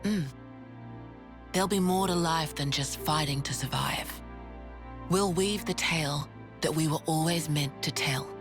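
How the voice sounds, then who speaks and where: An actress playing a frank, steady female resistance leader in a video game, with plain-spoken, measured storytelling. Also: sits low. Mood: earnest. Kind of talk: video game cutscene acting. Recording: music behind the voice.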